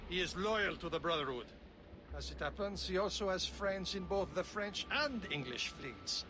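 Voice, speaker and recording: A stern, scornful mentor, talking down to his pupil in a game cutscene. His voice sits high and swings widely as he lectures. Loud game ambience hums behind him. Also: animated, moderate pace, noisy background. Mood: measured. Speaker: mentor of the brotherhood. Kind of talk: video game cutscene acting.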